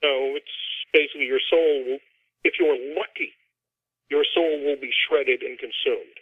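A man's voice: mid-range voice, fairly even intonation, normal speed, no echo. Middle-aged male author as interview guest, explanatory and conversational, dry close sound through a muffled telephone-style line. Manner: explanatory, talks at length about his fiction. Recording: dull, band-limited phone or radio-like line.

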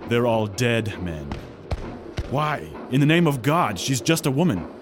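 A man's voice: medium pitch, lively intonation, measured pace, music in the background, close to an everyday voice. A man playing a terse, grave ship captain in an audio drama. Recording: background noise.

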